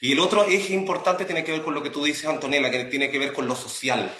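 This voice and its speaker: A man's voice: fairly high pitch, fairly quick, pushed and bright, slight background noise. A man with a Chilean accent explaining earnestly as an interview guest, often rephrasing, with a roomy, echoing sound. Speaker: academic and radio drama creator, interview guest.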